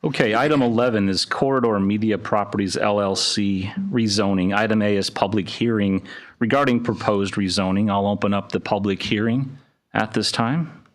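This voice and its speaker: A man chairing a city council meeting, with a booming voice, reading an oath in measured phrases into a desk microphone in a council chamber. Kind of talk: formal meeting procedure.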